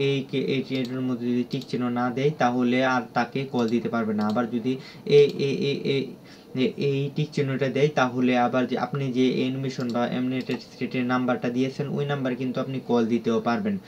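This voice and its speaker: Flat-toned Bangladeshi man, halting delivery. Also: mid-range voice. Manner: halting, repetitive. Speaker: tutorial presenter. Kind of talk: unscripted screen-recorded app walkthrough. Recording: dull lo-fi audio with clear noise.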